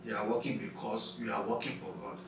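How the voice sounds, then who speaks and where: A man's voice: mid-range voice, fairly even intonation, fast talker. A young man, a guest in a casual discussion, explaining his opinion step by step and defining his terms. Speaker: guest on a youth discussion show. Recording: echoey room, distant mic, faint noise.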